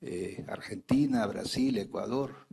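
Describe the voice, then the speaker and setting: An older man from El Salvador speaking from the floor at a public talk, measured and formal. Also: fairly low pitch, creaky, warm tone, slight background noise. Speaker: audience member, political exile.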